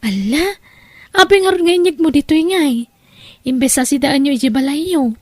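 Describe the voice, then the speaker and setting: Casting: actress as coy, spirited young daughter, high pitch, dry close sound. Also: female voice, expressive, moderate pace, dry recording. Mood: surprised, coy. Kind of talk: Ilocano audio drama acting.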